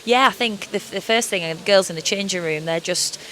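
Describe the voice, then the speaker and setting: A woman football coach giving a measured, upbeat post-match interview pitchside at an outdoor stadium, with frequent "you know" fillers. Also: fairly bright tone, poor audio.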